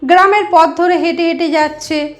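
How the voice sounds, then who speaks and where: A woman's voice: extremely high pitch, steady tone, moderate pace, faint music behind. A woman narrating a moral story as a channel host, explaining things directly to her listeners.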